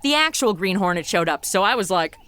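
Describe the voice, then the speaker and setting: A woman's voice: mid-range voice, lively intonation, brisk, a bit bright, clean tone, no room sound, close to an everyday voice. An actress playing a breezy, irreverent modern woman with a flippant, slangy manner in a comedy audio drama.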